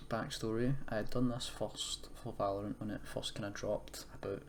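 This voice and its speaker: A man's voice: lowish voice, steady tone, normal speed. A man presenting a casual screen-recorded tutorial, explaining in an unscripted, hesitant way. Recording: noisy home recording, keyboard typing.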